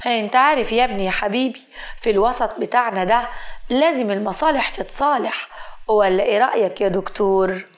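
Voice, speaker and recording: A woman storyteller plays a scheming Egyptian mother, a doctor in a family drama. She is bossy and insistent, pressing in a slightly high voice. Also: brisk, lightly acted. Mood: domineering. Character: domineering, scheming doctor mother, pressuring her grown son.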